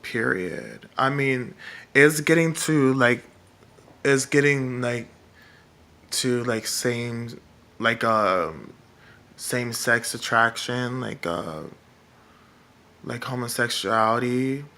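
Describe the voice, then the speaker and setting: A man with a feminine-sounding voice speaks slowly and haltingly, with a gravelly edge and a bright, tense tone. He rambles through his thoughts, stopping and restarting often. It sounds like a casual monologue for a vlog. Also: medium pitch, expressive. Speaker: political vlogger.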